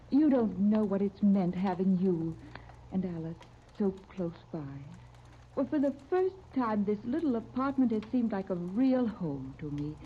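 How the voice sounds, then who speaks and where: A woman's voice: medium pitch, lightly acted. An actress playing a flirtatious, affectionate woman neighbour, coy and cajoling, in 1930s radio serial style. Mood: wistful, tender. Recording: dull, noisy old radio recording.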